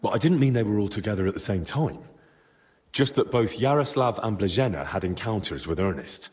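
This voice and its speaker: An actor playing an earnest, inquisitive young adventurer investigating in a medieval game, plain-spoken and probing. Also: male voice, on the low side, animated, a little fast, a little mellow, lightly acted. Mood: explaining. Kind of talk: dramatic video game cutscene acting.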